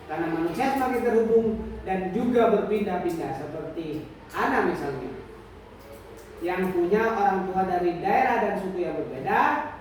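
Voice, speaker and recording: A man's voice: sits high, expressive, moderate pace, distant mic. An echoey, noisy recording of a kindly female storybook schoolteacher read out by a man. The teacher explains things earnestly and offers reassurance.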